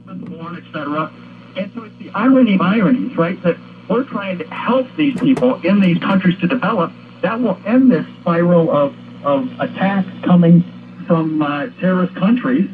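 A man's voice: high-pitched, lively intonation, normal speed. A man giving political talk radio commentary, heard through an old radio. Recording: tinny noisy vintage radio playback.

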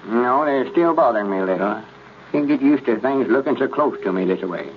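An actor playing a know-it-all, easily exasperated old storekeeper with a rural Southern accent in a 1930s radio comedy. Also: male voice, medium pitch, expressive, fairly quick, lightly acted. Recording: dull, noisy old radio recording.

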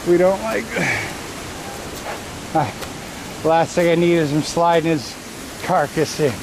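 A middle-aged man rambling to a handheld camera as he walks a wooded trail, commenting on the hike. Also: a little high. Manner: slow, rambling commentary to the camera while walking. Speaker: hiking vlogger. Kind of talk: casual hiking vlog narration. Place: wooded trail outdoors by a stream. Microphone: handheld action camera at arm's length. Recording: noisy outdoor camera audio.

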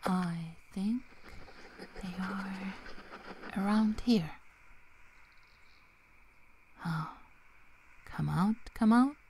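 A woman playing a young, protective, teasing female werewolf in a soft, half-whispered ASMR roleplay, with long pauses. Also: mid-range voice, slight background noise. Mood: stalking, coaxing. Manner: slow, hushed, breathy delivery with long pauses.

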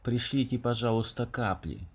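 A man's voice: medium pitch, normal speed, breathy edge. A man voicing a polite, plaintive heron with a bellyache in a children's storybook.

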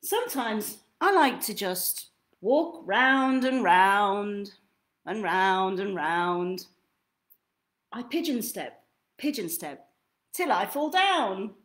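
A young woman reading picture books aloud to children in an expressive, theatrical way, drawing out key words. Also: medium pitch. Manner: theatrical, deliberate, drawn-out delivery of key words. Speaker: children's storytime reader. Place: small indoor room at home.

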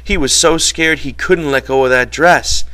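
A man voicing a protective, exasperated ranch hand in a storybook reading, telling things forcefully in folksy, ungrammatical speech. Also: on the high side, moderate pace, voice pushed up. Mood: agitated, insistent.

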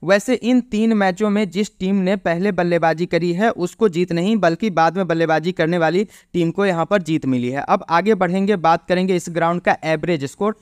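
A man presenting an explanatory cricket pitch report as a voice-over. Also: moderate pace, hardly any pauses, clean tone, dry recording, clean studio sound.

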